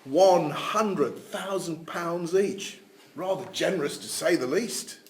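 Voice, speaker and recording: A man's voice: on the high side, takes it slowly. A man playing a formal, matter-of-fact family solicitor in an audio drama reading. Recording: echoey room with noise.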